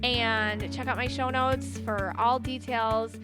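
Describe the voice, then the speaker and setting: A woman's voice: on the high side, steady tone, takes it slowly, over music. A woman hosting a podcast, chatting casually and bubbly, often laughing, as she reads her guests' energy. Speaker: podcast host and aura reader.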